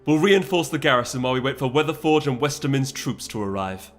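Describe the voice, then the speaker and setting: A man's voice: mid-range voice, lively intonation, fast, soft background music, natural voice. A man voicing a proud, resolute noble mare who speaks formally and imperiously in a dramatic fantasy audiobook. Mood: commanding.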